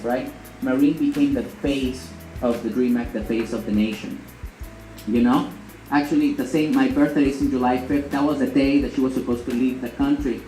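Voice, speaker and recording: A man who grew up speaking addresses a room as an activist, with a medium-pitched voice. He tells stories informally and emphatically, repeating phrases and asking questions. The room is very echoey, with faint music. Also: dark tone. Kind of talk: informal rallying speech with storytelling. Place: indoor fundraiser event room.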